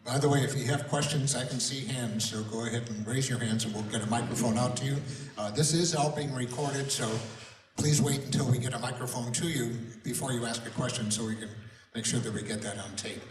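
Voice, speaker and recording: A man with a mid-pitched voice moderates a meeting in a measured, explanatory manner. He sounds slightly distant in a reverberant room, with faint noise. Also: steady tone. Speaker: panel moderator. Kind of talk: informal moderating, announcements and questions. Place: large room at a recorded public meeting.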